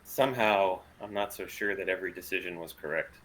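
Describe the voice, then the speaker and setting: A man's voice: deep voice, brisk, a bit bright, poor audio. A man playing a guarded, self-doubting starship pilot in a sci-fi table read, understated and reserved. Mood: guarded, weary.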